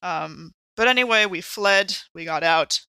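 A woman acts out a tipsy, exasperated investigator in a horror audio game. Her voice is bright and forward in a dry recording, and she talks in a wry, rambling, halting way. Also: lowish voice, smooth, no echo, poor audio. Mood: rattled recounting. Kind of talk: improvised in-character actual-play roleplay.

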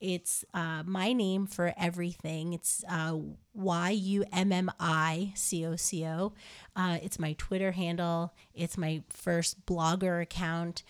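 A woman's voice: on the low side, little pitch movement, slow pace, clear, resonant tone. A woman from New Jersey hosting her podcast, chatting casually with lots of ums and uhs. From New Jersey, USA. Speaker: podcast host.

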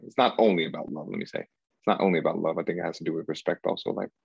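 A very deep, gravelly male voice from a man in his thirties who was born in Cameroon. He thinks aloud as an interview guest, hesitating and circling back. The sound is dry and a little dull. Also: darkish tone, dry recording. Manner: searching, hesitant, repeats himself and says "like" often. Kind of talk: reflective conversational interview.

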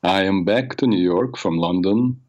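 An older man hosting a radio talk show, raspy from a cold, telling personal stories in a rambling way with many fillers. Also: sits low, measured pace, a little mellow, dull audio. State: has a cold. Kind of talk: solo talk show monologue.

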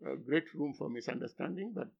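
An older man, a philosophy professor whose first language is Luo, speaking haltingly in an interview, then pressing with emphatic rhetorical questions. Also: a little high, lively intonation, creaky. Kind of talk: academic interview. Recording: dull lo-fi recording.

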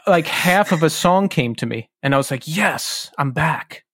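A man's voice: a little high, expressive, measured pace, a bit bright, husky, no room sound, studio quality. A man hosting a podcast interview, chatty and self-deprecating, with nervous energy. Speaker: podcast host.

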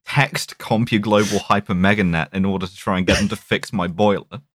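A man's voice: lowish voice, fairly even intonation, brisk, fairly bright tone, no echo, clean studio sound. A man co-hosting a casual podcast, ranting in exasperated, sweary bursts. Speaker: podcast co-host.

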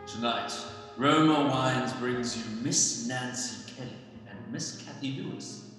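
A man's voice: medium pitch, measured pace, fairly bright tone, natural voice. A man playing a grand, ominous announcer for a classic radio suspense show, with heavy echo. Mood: ominous, dramatic. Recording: heavy echo, long reverb, music behind.

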